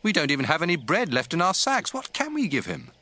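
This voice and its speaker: A man's voice: fairly high pitch, huge pitch swings, fast, pushed and bright, no echo, exaggerated voice. An actor playing an anxious, humble young man in dramatized scripture, earnest and questioning. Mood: worried.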